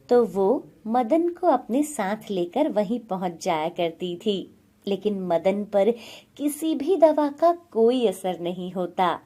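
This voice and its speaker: Slow, mellow woman storyteller. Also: fairly high pitch. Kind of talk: scripted moral story narration.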